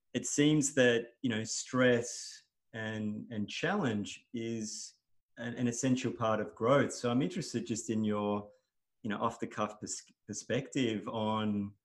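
An Australian man hosting an interview, asking thoughtful, probing questions. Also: fairly low pitch. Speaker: podcast host. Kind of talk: casual interview talk.

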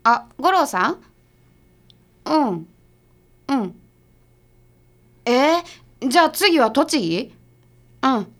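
A woman's voice: sits high, big pitch swings, fairly bright tone, full character voice. A woman playing a blunt, skeptical detective's assistant with a Kansai accent in a comedic radio drama. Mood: brisk, businesslike. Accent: Kansai Japanese. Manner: blunt, brisk retorts.

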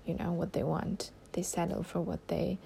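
A woman's voice: on the low side, level tone, normal speed, creaky, dark tone, a little hiss or hum. A young adult woman hosting a casual solo podcast, talking in a rambling way with many ums and restarts. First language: not German. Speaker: podcast host.

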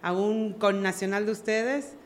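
A woman's voice: medium pitch, fairly even intonation, measured pace, slight background noise. A woman, a podcast guest telling her story, hesitant and searching for words. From Argentina. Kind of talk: casual interview chat.

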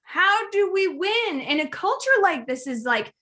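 A woman co-hosting a podcast, expressive and dramatic when reciting, quick to agree in chat. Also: very high voice. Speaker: podcast co-host. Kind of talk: casual chat, reads a spoken-word list.

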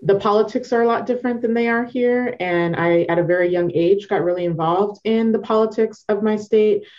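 A woman's voice: mid-range voice, brisk, dull audio. A woman from Northern Virginia with an American accent, telling her story informally and reflectively as an interview guest. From Northern Virginia, United States. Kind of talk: conversational personal storytelling.

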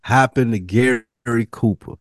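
A man's voice: mid-range voice, unhurried, crowd noise. A man co-hosting a review podcast, making points in emphatic, slangy casual talk and repeating phrases for stress. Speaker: podcast co-host reviewing a TV drama. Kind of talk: casual chat.

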